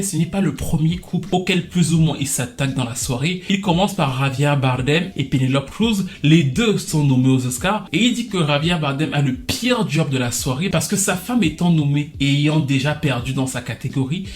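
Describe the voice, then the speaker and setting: Higher-pitched man, playful chatty commentator. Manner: colloquial, playful, mixes English slang into French. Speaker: online video commentator and host. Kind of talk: casual scripted pop-culture commentary with sponsor read.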